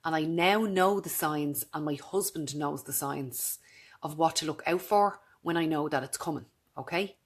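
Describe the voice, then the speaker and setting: A woman in her thirties with a naturally low voice, talking earnestly and directly to her camera in a vlog. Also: normal speed, breathy edge. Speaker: vlogger. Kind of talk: casual talk to camera.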